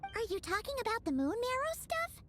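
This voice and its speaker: A woman voicing a small childlike fairy companion in an anime game, excitable, petulant and a little whiny. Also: very high voice, normal speed, slight background noise, full character voice. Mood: curious. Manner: whiny, speaks of herself by name. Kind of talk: anime video game dialogue.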